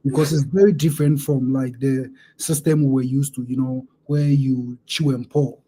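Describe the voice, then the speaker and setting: Ghanaian guy host, very dark mellow voice, thoughtful, faint hiss behind. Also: medium pitch, steady tone, moderate pace. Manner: reflective, affirming, repeats words while thinking. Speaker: interview host. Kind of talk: casual interview chat.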